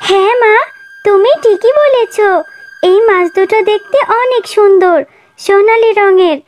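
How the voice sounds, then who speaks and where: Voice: very high-pitched, a little slow, darkish tone, faint music behind. A performer voicing a young fox girl in a children's cartoon, childlike and plaintive, a sulky but sweet daughter. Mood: eager, sweet. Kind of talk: Bengali children's cartoon dialogue.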